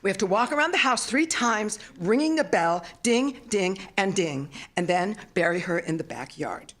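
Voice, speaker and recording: A mature woman reading a literary memoir at a live event in an expressive, solemn storytelling manner. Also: mid-range voice, moderate pace. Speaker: author reading own work. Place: theater stage, live reading.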